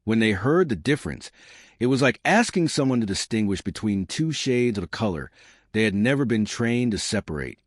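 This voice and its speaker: A man narrating a scripted science explainer, measured and deliberate, with slow emphatic short sentences. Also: fairly low pitch, very animated, dry recording, studio quality.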